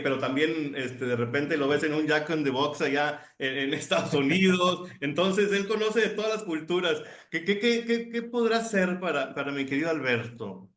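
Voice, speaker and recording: A man's voice: medium pitch, a bit bright, roomy sound. A Honduran middle-aged man co-hosting a podcast, talking chattily and enthusiastically with frequent restarts. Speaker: podcast co-host. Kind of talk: casual podcast conversation.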